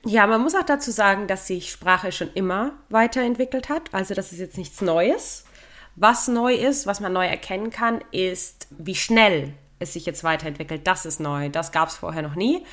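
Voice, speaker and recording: A woman co-hosting a podcast, explaining things in a relaxed chat and laughing at her own jokes. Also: mid-range voice, normal speed. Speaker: podcast co-host. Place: living in London.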